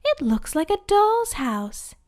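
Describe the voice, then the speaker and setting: A woman voicing a sweet, cheerful young princess in a bedtime story, gentle and wide-eyed. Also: very high-pitched, big pitch swings, slow, voice pushed up, full character voice.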